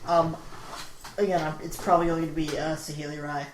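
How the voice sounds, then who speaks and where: A man's voice: on the high side, little pitch movement, brisk. A man co-hosting a casual podcast, speaking in brief, hesitant remarks. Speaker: podcast co-host. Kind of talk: casual hobby podcast chat. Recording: roomy echo, faint background noise.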